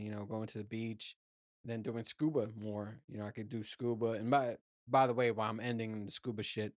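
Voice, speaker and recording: A man with a low voice, hosting his own show and telling a loose, profane travel story with lots of fillers and restarts. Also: no echo, studio quality. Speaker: podcast host. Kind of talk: casual solo chat.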